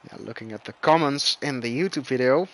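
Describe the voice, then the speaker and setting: A man whose first language is Dutch, commentating live on an online racing game with excitable bursts. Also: mid-range voice, brisk, poor audio. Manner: excitable, rising loud bursts at crashes. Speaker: esports race commentator.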